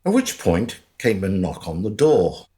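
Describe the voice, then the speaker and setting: A man's voice: sits low, sing-song, unhurried, mellow. A man giving scripted narration for a radio drama in a wry storytelling manner.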